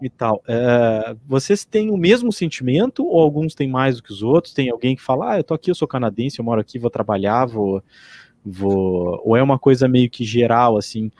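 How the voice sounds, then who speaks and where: A man's voice: medium pitch, expressive, normal speed, a little mellow, no echo. A man hosting a casual podcast interview, feeling his way through rambling, tentative questions. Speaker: podcast host.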